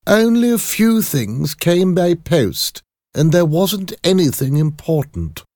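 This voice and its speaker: A man's voice: on the high side, big pitch swings, slow pace, darkish tone, no echo, studio quality, pitched above the performer's own voice, exaggerated voice. A man voicing a respectful servant in a storybook, deferential but emphatic. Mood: respectful, firm. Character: respectful male servant, plain and certain. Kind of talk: audiobook character acting.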